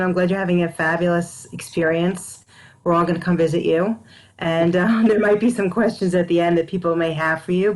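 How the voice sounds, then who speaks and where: A woman's voice: on the low side, rough recording. A woman hosting an online panel over a video call, upbeat and effusive. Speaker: host.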